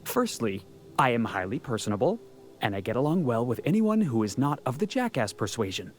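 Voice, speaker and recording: An actor playing an eager, cocky young American drifter in a video game, talking with earnest, stumbling bravado. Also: male voice, medium pitch, very animated, fairly quick, close to an everyday voice. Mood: eager, nervous. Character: eager, cocky young male drifter seeking crew work. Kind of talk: video game character acting.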